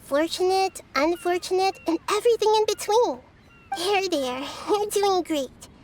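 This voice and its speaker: Game casting: a tiny wise girl spirit, very high childlike voice, gentle, curious and measured, over background music. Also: slight background noise. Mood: gentle, encouraging. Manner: soft-spoken, measured, whimsical, gently teasing. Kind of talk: anime video game character voice lines.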